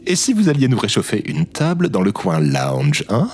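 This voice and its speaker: A man's voice: on the low side, wildly swooping pitch, normal speed, darkish tone, a little hiss or hum. A man playing a vain, oblivious former president and smug charmer in an audio comedy, theatrical and unctuous. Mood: oblivious, courteous. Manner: theatrical, unctuous, hesitant hums. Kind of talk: audio fiction comedy acting. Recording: music behind the voice.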